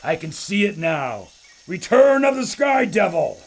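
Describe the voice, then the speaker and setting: An actor playing a brash, boastful adventurer filmmaker who talks with a gruff swagger. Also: male voice, high-pitched, very animated, unhurried, full character voice. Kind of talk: audio drama acting.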